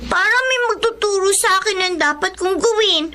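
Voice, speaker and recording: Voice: extremely high pitch, moderate pace, sharp tone. A performer with a Filipino accent playing a sweet, inquisitive, polite little schoolgirl in a radio drama. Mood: insistent. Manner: childlike, polite, pleading. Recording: noisy background ambience.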